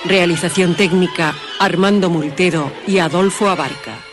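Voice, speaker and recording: A man's voice: high voice, moderate pace, music in the background. A male radio announcer with a Castilian Spanish accent reading out scripted programme credits.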